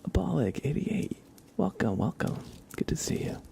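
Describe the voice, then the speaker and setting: A man hosting a casual ASMR livestream, chatting in a soft, breathy, hushed whisper with an unhurried, rambling delivery. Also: on the high side, very animated.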